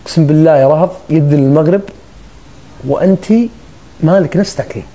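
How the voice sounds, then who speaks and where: A man's voice: fairly high pitch, fast talker, warm tone. A man hosting a casual live stream on his phone, teasing and joking with his guests. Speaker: livestream host.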